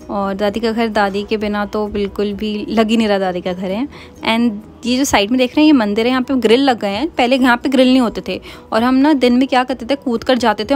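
A young woman from Uttarakhand, India, chatting casually as she narrates her family vlog. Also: fairly high pitch. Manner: chatty, mixes Hindi and English. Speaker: vlogger.

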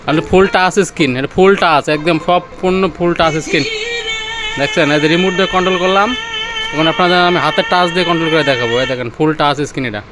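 A man's voice: on the high side, rapid-fire, over music. A man with a Bangladeshi Bengali accent giving an informal, repetitive off-the-cuff sales demonstration, pointing things out as he goes. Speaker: seller demonstrating used car audio-video equipment.

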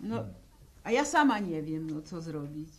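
A woman's voice: sits low, big pitch swings, a little slow. An actress playing a plain-spoken peasant widow and mother in a 1960s radio serial, with a homely, bantering, nagging way of talking. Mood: wistful, undecided.

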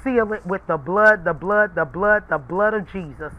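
A man leading fervent charismatic prayer as a preacher, forceful and repeating exclamations of praise. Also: high voice, moderate pace, phone-quality sound.

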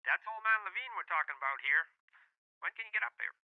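Over a muffled phone line, a French-Canadian lumber camp cook in an audio drama. His folksy broken English grumbles along with lively swings of pitch. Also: quick pace. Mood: grumbling. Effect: telephone filter.

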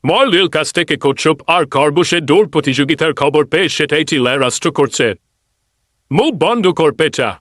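A man's voice: a little high, lively intonation, a little fast, natural voice. A man playing a grumpy, irritated animal onlooker in a children's cartoon. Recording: very noisy background.

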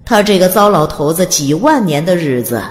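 A woman reads scripted fantasy narration as a dramatic storyteller. Her voice is deep for a woman and richly modulated, set in a long reverb over quiet music. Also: expressive, moderate pace. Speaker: audiobook narrator. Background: soft music.

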